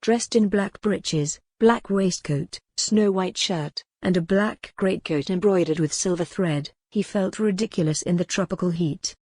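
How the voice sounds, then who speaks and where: A woman reading scripted fiction as an audiobook narrator, in a measured, dramatic manner. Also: on the low side, a little mellow, dry recording, clean studio sound.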